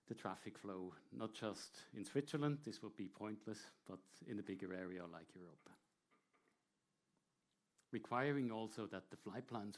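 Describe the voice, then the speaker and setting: A man presenting a technical talk to an audience in a measured, explanatory way, with short hesitant pauses. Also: on the low side, a little mellow. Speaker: air traffic control presenter.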